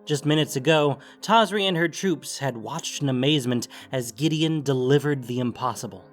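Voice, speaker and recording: A man narrating scripted fantasy fiction in a grave, deliberate way with theatrical emphasis. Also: mid-range voice, faint music behind.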